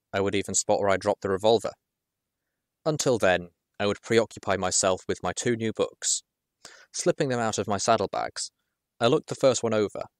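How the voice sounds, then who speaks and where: A man reading a first-person adventure story aloud in a measured, expressive storytelling manner. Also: low voice, no room sound, clean studio sound. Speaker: audiobook reader.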